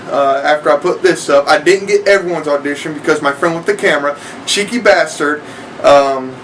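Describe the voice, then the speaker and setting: Slightly distant and a little noisy, a young man chats to his viewers. His voice is on the high side, and he rambles casually with many hesitations. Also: fairly bright tone. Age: young adult. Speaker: online reviewer and aspiring voice actor. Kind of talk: casual vlog introduction. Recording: distant mic with background noise.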